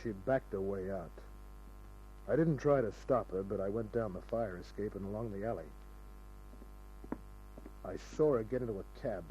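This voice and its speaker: A man's voice: fairly low pitch, normal speed, pauses often, mellow, slight background noise, natural voice. Man playing a sardonic radio private eye, muffled recording. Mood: terse storytelling. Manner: dry, sardonic, world-weary. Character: wisecracking hard-boiled male private detective. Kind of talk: 1940s-50s radio crime drama narration and dialogue. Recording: dull old radio recording with music.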